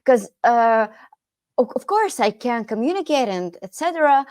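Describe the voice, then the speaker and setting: A woman with a Russian accent, a native Russian speaker, hosting a podcast interview and talking quickly with many hesitations. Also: on the high side, sing-song, a little mellow, no room sound, studio quality. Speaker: podcast host, English teacher.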